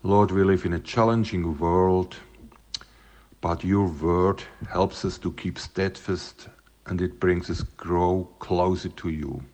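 A man's voice: sits low, fairly even intonation, a little mellow. A man in his fifties from Switzerland with a Swiss accent, giving a friendly greeting and a prayer. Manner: friendly, unhurried. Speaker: guest sending a video greeting.

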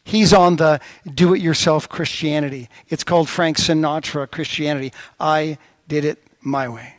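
A middle-aged man preaching a sermon as pastor, telling stories with lively swings of voice. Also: normal speed, no room sound. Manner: storytelling with lively pitch swings.

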